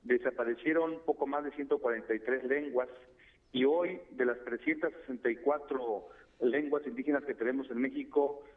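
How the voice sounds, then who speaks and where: A man from Chiapas whose first language is Tseltal, speaking as a guest expert by phone in a measured, formal way. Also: medium pitch, fairly even intonation. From Chiapas, Mexico. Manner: measured, formal, addresses host by name. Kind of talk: radio phone interview. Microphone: telephone. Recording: tinny phone line.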